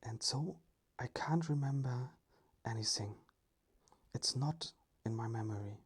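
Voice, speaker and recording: A storyteller voicing a polite, innocent little robot in a breathy, whispered ASMR storybook reading. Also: medium pitch, a little slow. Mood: matter-of-fact.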